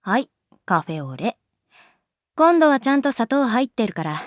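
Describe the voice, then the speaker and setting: A woman's voice: fairly high pitch, sing-song, normal speed, no echo, clean studio sound. A woman playing a laid-back adult schoolteacher in a Japanese voice drama, talking in a casual, nonchalant, teasing way. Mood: languid, easygoing. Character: wry, laid-back adult female schoolteacher, quietly indulgent. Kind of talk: Japanese voice drama acting, natural dialogue.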